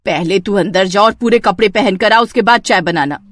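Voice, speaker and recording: A woman voicing an exasperated older mother-in-law in a family story, sharp and reproachful. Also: mid-range voice, moderate pace, no echo, close to an everyday voice.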